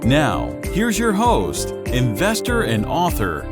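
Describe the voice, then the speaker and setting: A man reading a scripted show intro in a measured, promotional way. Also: medium pitch, huge pitch swings. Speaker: show announcer. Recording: announcer over loud music. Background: loud music.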